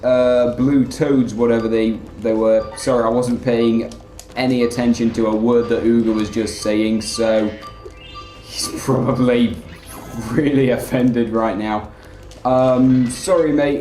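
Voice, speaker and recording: A man giving rambling, filler-heavy let's play commentary in a flat, monotone way. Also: medium pitch, reverberant. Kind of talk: casual gameplay commentary.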